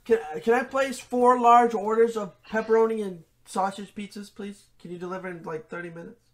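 A man in his thirties with a distinctly high voice. He talks as a prank caller, in a staged-style phone call recorded in a small bedroom. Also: little pitch movement, fairly quick, fairly bright tone.

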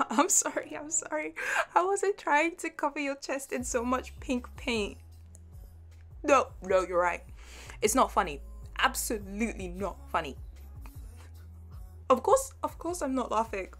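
A woman's voice: high-pitched, lively intonation, unhurried, long pauses, fairly bright tone, soft background music, pitched above the performer's own voice, heavily acted. A young woman playing a flustered, playful artsy college girl with a crush, stammering and giggly in an intimate audio roleplay. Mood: playful, mock indignant. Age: young adult.

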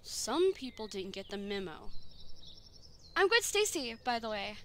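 A woman's voice: fairly high pitch, big pitch swings, measured pace, a bit bright. A woman voicing a friendly, assertive young female college student in a comic dub, with a teasing manner. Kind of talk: comic dub character acting. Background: music.

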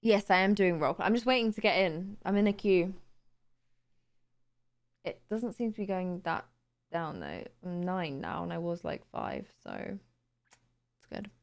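A young woman streaming from her room late at night, tired and a little raspy, chatting casually and thanking viewers on a close studio mic. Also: on the low side, big pitch swings, unhurried, pauses often. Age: young adult. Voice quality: slightly raspy today. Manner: chatty, bubbly, laughs easily. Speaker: live streamer. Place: home streaming room, indoors. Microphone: boom studio mic close to mouth.

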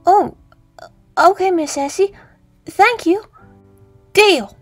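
A woman's voice: very high-pitched, slow, faint music behind. A woman voicing a shy, timid young boy with a Southern US twang who stammers bashfully. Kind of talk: fan comic dub.

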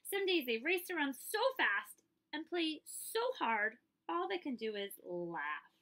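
A woman in her thirties or forties reading a picture book aloud as a librarian, expressive and emphatic, with casual asides to listeners. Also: sits high, measured pace. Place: small indoor room.

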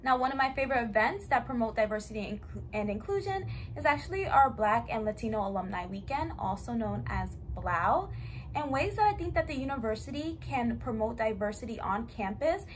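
A young woman student speaking in a measured, careful way in a webcam testimonial. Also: on the high side, lively intonation, a little hiss or hum. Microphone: laptop webcam.

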